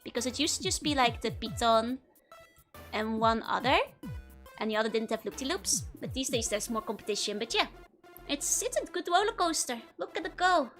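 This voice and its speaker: Giggly Dutch woman with a high voice. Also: expressive, normal speed, a bit bright, faint music behind. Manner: giggly, rambling, many fillers. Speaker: streamer. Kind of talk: casual chat to live viewers.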